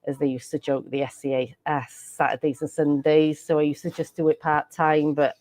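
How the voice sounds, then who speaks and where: A woman's voice: low voice, flat delivery, fairly quick, a little mellow. A Welsh woman, a native Welsh speaker, chatting as a podcast guest, rambling and laughing often. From Wales. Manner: giggly, rambling with frequent laughs and restarts. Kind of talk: casual interview chat.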